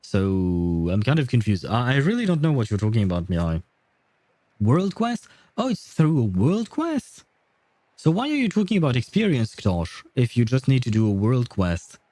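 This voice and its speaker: A man's voice: medium pitch, huge pitch swings, normal speed, mellow, no room sound. A man hosting a casual gaming livestream, chatting with viewers in a rambling, hesitant way. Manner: hesitant, rambling, chuckling often. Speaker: livestream host.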